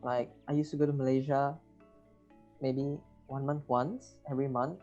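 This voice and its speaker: A man's voice: mid-range voice, level tone, normal speed, mellow, lo-fi sound, faint music behind. A young man, co-hosting a student podcast in casual chat, asking playful, teasing questions. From Indonesia. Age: young adult. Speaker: podcast co-host.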